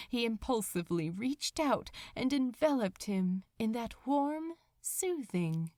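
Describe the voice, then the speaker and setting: A woman narrates fiction with a slow, deliberate delivery. Her pitch moves widely for dramatic expression, and the recording is dry and close. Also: no echo. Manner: expressive, measured.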